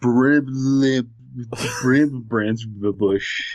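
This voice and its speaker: A man's voice: mid-range voice, very slow, a little mellow, no echo. A man putting on a silly caller voice, stumbling over his own name. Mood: goofy. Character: goofy fake male caller, bumbling. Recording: dull lo-fi mic.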